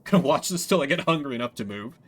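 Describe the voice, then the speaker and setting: A man's voice: mid-range voice, animated, fast. Congested man giving casual game commentary. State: congested with a minor cold. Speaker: streamer. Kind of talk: casual game playthrough commentary, voicing dialogue.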